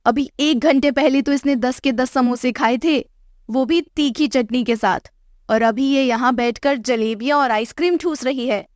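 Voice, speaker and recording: A woman acts out a middle-aged mother-in-law of a rich house, haughty and scolding. Her voice sits fairly high and is closely recorded with no room sound. She nags and insults in an exasperated cartoon-story manner. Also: measured pace, dry recording, clean studio sound. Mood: angry nagging. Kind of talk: Hindi moral-story cartoon dialogue.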